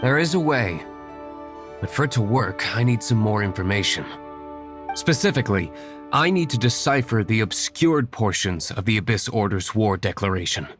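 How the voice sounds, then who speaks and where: An actor playing a cool, guarded young aristocratic vigilante in a video game, measured and matter-of-fact. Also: male voice, fairly low pitch, animated, fairly bright tone, faint music behind. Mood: serious, resolute.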